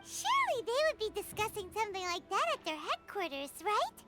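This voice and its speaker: A woman voicing a tiny, childlike floating companion in an anime-style game, squeaky, cutesy and inquisitive. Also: wildly swooping pitch, fairly quick, exaggerated voice. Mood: curious. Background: game music.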